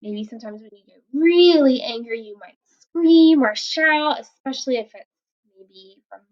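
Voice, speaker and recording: A woman teacher reading a picture book aloud to children, gently explaining words and asking them questions. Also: high voice, lively intonation, moderate pace, dull audio.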